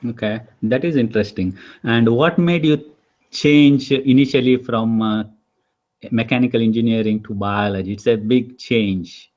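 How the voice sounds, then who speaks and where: A man's voice: mid-range voice, moderate pace, a little mellow, dull audio. A man hosting an interview over a video call, asking questions hesitantly with many fillers. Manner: hesitant, many fillers, frequent listener backchannels. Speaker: host. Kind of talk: interview host.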